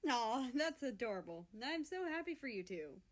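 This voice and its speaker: A woman voicing a cheerful, teasing, warm-hearted male friend in a story reading. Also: on the high side, sing-song, moderate pace, voice pushed up. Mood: happy.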